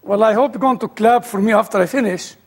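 A man's voice: high-pitched, quick pace, in a large hall, slight background noise. A man with an Egyptian-British accent, an older guest speaker giving his personal testimony with dry humor.

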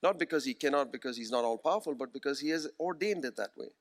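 A man in his forties preaching a sermon, earnest and emphatic, slowing down to repeat key phrases. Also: medium pitch, animated. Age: 40s.

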